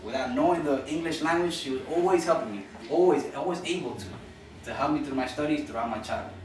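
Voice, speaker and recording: A man's voice: mid-range voice, fast talker, a crowd in the background. Young man giving an echoey class speech. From Cuba. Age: young adult. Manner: earnest, informal, with repeats and restarts. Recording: echoey room from far off with noise.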